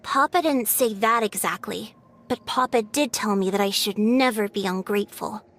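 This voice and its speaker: A woman's voice: mid-range voice, normal speed, fairly bright tone, slight background noise, natural voice. A woman voicing an outspoken, childlike young girl in a video game, with a blunt way of talking. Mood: sullen.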